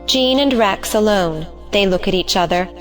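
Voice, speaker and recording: A woman's voice: mid-range voice, animated, moderate pace, a bit bright, faint music behind. A woman narrating the stage directions of an audio play in a scripted reading style.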